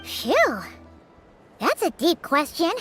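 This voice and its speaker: Tiny squeaky game sidekick creature, chirpy n cute, talks slowish, music behind. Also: female voice, wildly swooping pitch, fairly bright tone, full character voice. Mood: curious, cheery. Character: small chirpy excitable childlike companion creature. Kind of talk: video game voice acting.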